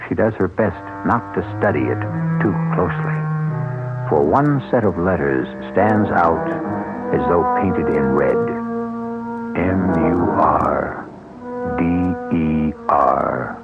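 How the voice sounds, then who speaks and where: Gravelly deep radio drama narrator. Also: male voice, animated. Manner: measured, ominous storytelling. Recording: tinny old radio broadcast with music.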